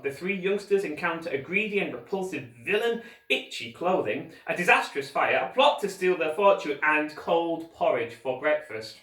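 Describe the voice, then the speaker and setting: A man reading a children's story aloud with expressive, emphatic narration and dramatic pauses, like a teacher reading to pupils. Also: fairly high pitch. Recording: echoey room, distant microphone.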